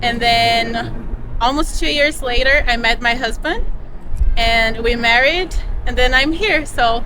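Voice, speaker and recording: High-voiced brazilian woman on a street. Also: a little slow, background noise. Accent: Brazilian Portuguese. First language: Portuguese. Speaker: interviewee. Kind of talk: street interview answer.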